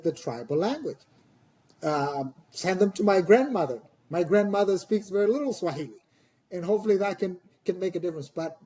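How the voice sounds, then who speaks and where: Man, Tanzanian accent, non-native speaker, mellow dark tone, husky, hesitant pauses, thoughtful explaining, podcast guest. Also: high-pitched. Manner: hesitant, pausing, repeats words while explaining. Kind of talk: thoughtful interview talk.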